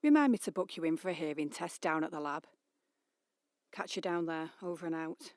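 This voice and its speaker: A woman acting in a radio drama as a brisk submarine captain, commanding, dry and condescending. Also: low-pitched, lively intonation, no room sound. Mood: curt. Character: brisk, bossy, sardonic female submarine captain. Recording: loud vehicle-like ambient noise.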